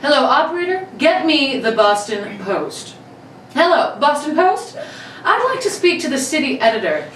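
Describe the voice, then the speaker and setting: A gleeful, mock-polite robber acted by a woman in an old-time radio play, gloating theatrically with big swings of pitch. The voice sits on the high side and rings in a roomy space with faint noise behind it. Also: big pitch swings, normal speed, fairly bright tone. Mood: gloating, playful. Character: gleeful, cocky male robber, the Lone Wolf. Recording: echoey live room, distant mic, faint noise.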